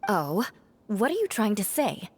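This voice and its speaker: A woman's voice: mid-range voice, big pitch swings, measured pace, darkish tone, husky, no echo, heavily acted. An actress voicing a poised, perceptive young woman with a teasing streak in a video game cutscene. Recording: game music under the voice.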